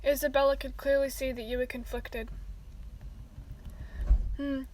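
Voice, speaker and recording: A woman with a high voice reads fan fiction aloud at home and drifts into rambling chat full of fillers. She talks while eating. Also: flat delivery, a little fast, darkish tone, a little hiss or hum, poor audio.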